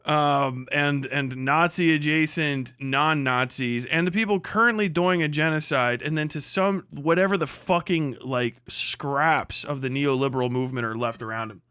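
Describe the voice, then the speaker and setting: Male livestream commentator, slightly high voice, dry close sound. Casual, sweary, blunt and scornful. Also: moderate pace, hardly any pauses, pushed and bright, clear, resonant tone, no echo. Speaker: livestream host and political commentator.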